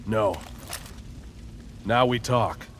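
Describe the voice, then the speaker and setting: An actor playing a tough, pragmatic gang leader in a video game, blunt, wry and commanding. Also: male voice, on the low side, drawn-out words, background noise. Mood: blunt, persuasive. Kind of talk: video game cutscene acting. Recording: music behind the dialogue.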